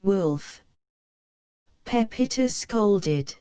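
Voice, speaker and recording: A woman reading a children's picture book aloud, slow and careful for young learners. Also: mid-range voice, expressive, very noisy. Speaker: storybook reader.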